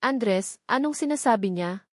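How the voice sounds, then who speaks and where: A woman's voice: a little high, animated, a little slow, dry recording, clean studio sound. A woman voicing a hurt, bewildered, accusing woman who feels betrayed, in an audio drama. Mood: confused, worried. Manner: trembling, accusing.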